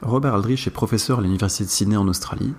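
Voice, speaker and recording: A man's voice: on the low side, rapid-fire, a little mellow, breathy edge. A man hosting a podcast, reading scripted narration and introducing guests. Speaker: podcast host.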